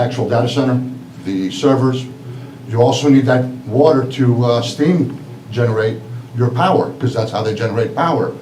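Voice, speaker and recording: A man's voice: mid-range voice, mellow. A middle-aged man from Greece, an electrician, giving a plain, emphatic public comment at a city meeting. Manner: plain, emphatic, repeating key words. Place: council meeting room. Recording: echoey hall, distant mic, faint noise.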